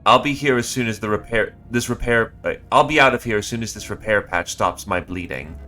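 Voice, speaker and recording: A man reading out the lines of a wounded android worker, in a flat, quiet read-aloud voice. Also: on the low side, fairly quick, faint music behind. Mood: grim warning.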